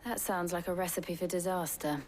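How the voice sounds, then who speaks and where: A woman's voice: on the low side, steady tone, moderate pace, darkish tone, a little hiss or hum, close to an everyday voice. A woman playing a cool, sardonic mercenary type in a medieval fantasy game, with a dry delivery. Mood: skeptical. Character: cool, sardonic female companion posing as mercenary commander. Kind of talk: dramatic video game cutscene dialogue.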